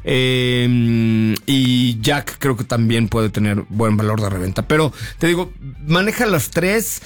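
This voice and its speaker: A man's voice: mid-range voice, animated, slow pace, faint music behind. A man with a Mexican accent hosting a car show, chatting informally with slang and jokes as he reviews cars. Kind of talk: casual car review chat.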